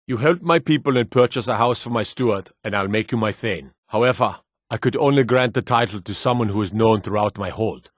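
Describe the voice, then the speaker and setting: An actor playing a stern, dutiful fantasy lord and soldier in a video game, speaking in a formal, measured, authoritative way. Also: male voice, medium pitch, dry recording, clean studio sound, lightly acted. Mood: courteous, formal. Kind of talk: video game character dialogue.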